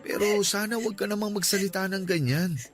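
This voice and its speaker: A man's voice: sits high, a little fast, soft background music, natural voice. A man voicing a devoted young boyfriend who earnestly comforts and pleads with his sick partner in a radio drama. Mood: gentle reassuring.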